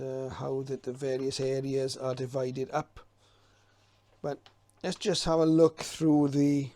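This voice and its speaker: A man with a soft, relaxed tone gives an unscripted, informative commentary. His delivery stays very even and a little hesitant, as if thinking things over. The sound is close and dry. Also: a little high, dry recording. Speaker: hobbyist presenter. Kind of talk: casual unscripted commentary while leafing through a guide.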